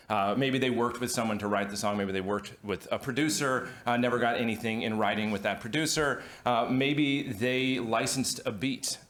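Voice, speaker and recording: A man's voice: on the low side, fairly bright tone. A man speaking as a music lawyer on a conference panel, with hesitant, restart-filled phrasing. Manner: hesitant, many ums and restarts, self-deprecating. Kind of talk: panel talk.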